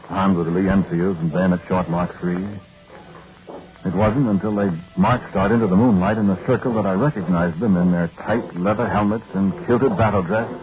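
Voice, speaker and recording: An actor playing a solemn soldier who tells his strange story in a measured, deliberate way, as in old radio drama. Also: lowish voice, close to an everyday voice. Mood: solemn, awed. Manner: measured, deliberate, pausing mid-sentence. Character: modern soldier narrator, solemn, haunted storyteller. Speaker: narrator and lead character. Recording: tinny, noisy vintage radio recording.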